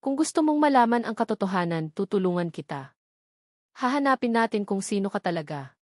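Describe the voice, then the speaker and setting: A woman voicing a calm, protective young husband in an audio love story, firm and earnest. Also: mid-range voice, brisk, no echo, studio quality, natural voice. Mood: gentle, earnest.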